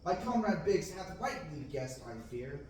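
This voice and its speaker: A man's voice: on the high side, lively intonation, moderate pace, darkish tone, slight background noise, natural voice. Yearning young farm boy, read by a man; declamatory verse, distant mic in a roomy hall. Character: restless young farm boy dreaming of adventure. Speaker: table-read actor. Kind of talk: amateur Shakespearean table reading. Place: large classroom, read from a table. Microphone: far room camera. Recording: echoey room, distant mic.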